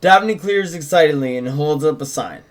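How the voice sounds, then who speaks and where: A man with a fairly high voice co-hosts a table read. He moves between reading out stage directions and casual chat with his writing partner. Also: expressive, moderate pace. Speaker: co-host and script co-writer. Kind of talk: script narration and casual chat.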